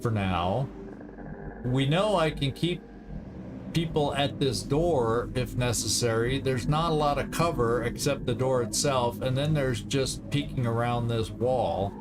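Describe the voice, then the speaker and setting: Slow-talking man commentating over game music. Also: medium pitch. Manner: thinking aloud, deliberate. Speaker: gaming video host. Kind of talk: casual strategy game commentary. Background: video game music.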